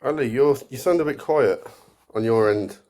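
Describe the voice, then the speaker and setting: A man's voice: medium pitch, normal speed, warm tone. An Englishman with an English accent chatting briefly and plainly on a video call. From England. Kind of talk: casual chat.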